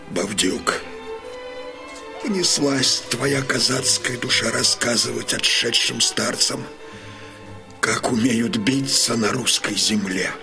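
Old cossack chief, slow rousing speechy delivery, solemn, audio drama w loud music under it. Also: male voice, fairly low pitch, gravelly. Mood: solemn, mournful. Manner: declamatory, rousing. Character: commanding Cossack chieftain, older man, fiery and solemn. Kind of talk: theatrical battle oratory in Russian audio drama.

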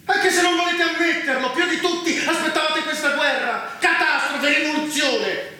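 An actor playing a ruthless, self-righteous warlord in a dystopian stage drama, speaking in grandiose, taunting phrases with dramatic pauses. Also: male voice, extremely high pitch, full character voice. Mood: heated, accusing. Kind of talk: intense theatrical stage acting. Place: theatre stage, recorded from afar. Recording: echoey theatre, distant mic, loud noise.

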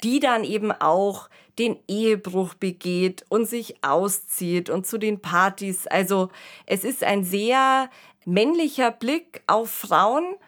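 Woman on a podcast doing analytical commentary, normal mid pitch, chatty discussion. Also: takes it slowly. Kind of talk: conversational critical commentary.

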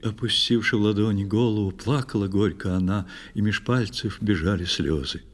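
A man narrating a verse fairy tale in an expressive, scripted storytelling style. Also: fairly low pitch, moderate pace. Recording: music behind the voice. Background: clear instrumental music.

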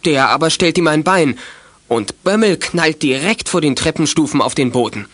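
A man's voice: on the high side, expressive, normal speed, a bit bright, no room sound. A man narrating a youth radio play in scripted, dramatic storytelling style.

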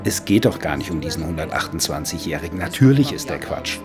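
An animated, insistent German radio journalist. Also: male voice, a bass voice, fast talker, music in the background. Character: eager male radio journalist pitching an absurd idea to his colleague. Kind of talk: conversational radio feature acting.

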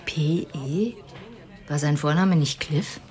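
A woman's voice: low voice, slow, crowd noise. A woman playing a no-nonsense, irritable police detective in a German radio crime drama, brusque, sceptical and dry.

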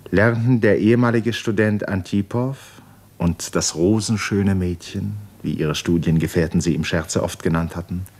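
A man narrating a literary radio drama in a measured, solemn storytelling manner. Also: deep voice, darkish tone.

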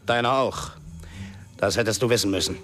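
A man's voice: on the low side, normal speed, lightly acted. A man acting in a German radio drama as a terse, cold-blooded gangster hitman with a curt, threatening manner. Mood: cold menace. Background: loud radio music.

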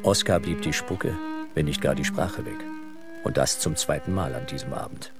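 A man's voice: a bass voice, normal speed, music in the background. A man delivering scripted literary narration in a radio play.

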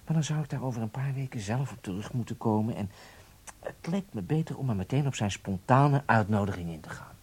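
A calculating undercover spy in a radio play. His voice is husky and of middling pitch, with lively swings in intonation as he deliberately lays out his plans in close conversation. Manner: deliberate, explanatory. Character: calculating male German undercover spy, affectionate with partner. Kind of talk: Dutch radio drama acting, intimate dialogue.

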